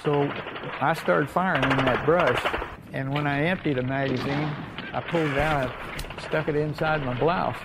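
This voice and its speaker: An older American man, a war veteran, telling his memories informally in a folksy, halting way with repeated phrases. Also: fairly high pitch, steady tone, heavy background noise. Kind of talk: informal oral-history storytelling.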